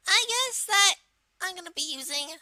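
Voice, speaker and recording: A performer voicing a small turtle-like video game villain kid, giving a casual step-by-step software tutorial in a halting, hesitant way. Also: very high-pitched, expressive, no echo, exaggerated voice. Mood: hesitant, matter-of-fact. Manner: halting, hesitant, trailing off in asides. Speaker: tutorial presenter.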